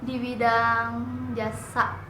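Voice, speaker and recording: A nineteen-year-old woman answering interview questions casually and briskly. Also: fairly high pitch, completely flat delivery. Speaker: interviewee, student. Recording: distant echoey room, noisy background.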